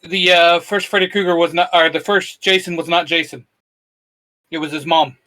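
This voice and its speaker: A man's voice: fairly high pitch, steady tone, normal speed, pushed and bright. A man co-hosting a casual fan discussion, earnestly breaking down character matchups. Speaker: co-host.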